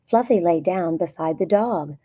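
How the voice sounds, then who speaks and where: A woman reads a children's story aloud in short, plain sentences. Her voice sits slightly low for a woman and has a dark, soft tone with a noticeably husky texture. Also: measured pace. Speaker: storybook reader. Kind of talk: scripted children's story narration.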